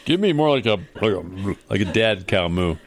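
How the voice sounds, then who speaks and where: A man's voice: on the low side, expressive, normal speed. A man hosting a comedy radio show in casual, joking chat.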